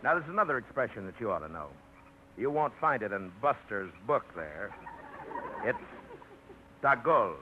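An actor in a 1950s radio play, playing a blunt, worldly man who talks in rough colloquial phrases. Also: mid-range voice, very animated, moderate pace, long pauses, natural voice. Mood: blunt instructing. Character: worldly, rough-edged man teaching travel French. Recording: muffled, noisy 1950s radio recording.

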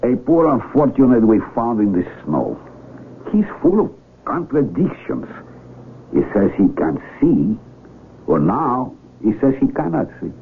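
Scornful village doctor, swooping intonation. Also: male voice, mid-range voice, very animated, a little slow, vocal fry. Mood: exasperated. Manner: dismissive, declamatory. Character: dismissive, skeptical male village doctor, blind, scornful and brisk. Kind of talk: 1970s radio drama acting. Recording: tinny, noisy 1970s AM radio recording.

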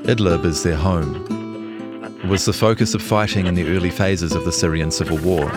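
A man's voice: sits low, moderate pace, over loud music. A man reading a scripted longform news feature as a journalist narrator.

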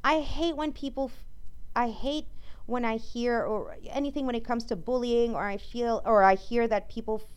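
A woman hosting a podcast, chatting casually and emphatically as she reads from an article, her voice going a little hoarse. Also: fairly high pitch, moderate pace. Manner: rambling, emphatic, with frequent fillers and restarts. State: losing her voice. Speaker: podcast host. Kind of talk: casual solo talk, reading and reacting to an article.

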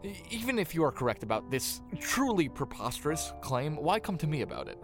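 Prim Puritan minister: higher-pitched male voice, stammering, formal period diction, music underneath. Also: very animated, brisk. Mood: flustered, indignant. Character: prim, flustered Puritan minister, easily alarmed man. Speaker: voice actor in an audio drama. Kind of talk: period audio drama acting.

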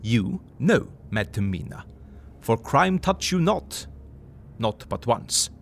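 An old professor lecturing. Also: male voice, medium pitch, slow pace, gravelly, a little hiss or hum, exaggerated voice. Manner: broken English, emphatic lecturing, lively swings. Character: elderly male Dutch professor, earnest, lecturing. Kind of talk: audio drama acting.

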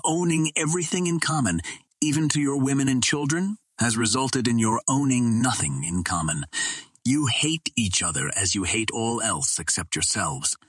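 A man's voice: expressive, normal speed, breathy edge, dry recording. A man voicing a proud captive princess from another planet in a storybook, speaking in formal, impassioned oratory. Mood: impassioned reproach. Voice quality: low, well-modulated.

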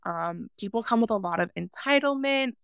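Woman, from Hawaii, interview guest, young adult, gravelly, dry close sound, thoughtful, lots of fillers. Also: a little high, animated, normal speed, a bit bright, no room sound. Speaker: farmer guest. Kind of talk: casual interview conversation.

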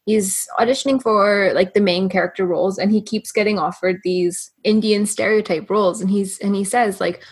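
Woman, husky voice, casual podcast co-host, agreeable, explains things. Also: mid-range voice, fairly even intonation, moderate pace, hardly any pauses. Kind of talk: casual news chat.

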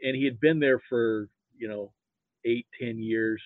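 An American man heard through a narrow, phone-like connection with a dry sound. His voice is mid-pitched, and he chats about sports in a folksy, halting, rambling way. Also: steady tone, dry recording. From Nebraska, United States. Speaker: podcast guest, sports fan. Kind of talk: casual chat. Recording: thin phone-like narrow-band line.

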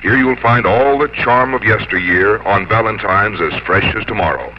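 American radio announcer, muffled vintage broadcast. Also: male voice, on the low side, background noise. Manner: polished, measured sales delivery. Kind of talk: 1950s scripted radio commercial announcing.

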